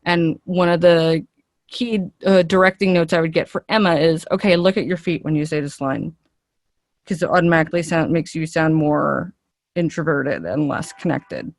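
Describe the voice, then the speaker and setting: Low-pitched woman, casual interviewee. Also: normal speed, no room sound. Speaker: actress being interviewed.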